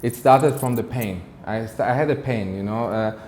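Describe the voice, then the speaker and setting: A man's voice: mid-range voice, normal speed, darkish tone. A man from Germany giving earnest, motivational personal talk as an interview guest, often repeating his phrases. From Germany, of Iranian background. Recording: roomy echo with faint noise.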